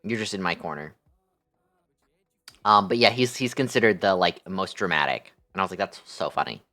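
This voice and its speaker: A man's voice: sits low, normal speed, pushed and bright. A young man livestreaming, chatting casually with his viewers in a playful, affectionate manner.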